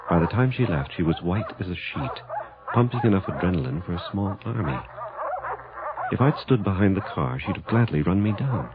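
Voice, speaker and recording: A lively low-voiced scientist narrator. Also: male voice, a little fast. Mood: narrating. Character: scientist husband narrating his lab mishap. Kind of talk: 1980s radio drama. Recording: dull lo-fi radio drama recording with hiss.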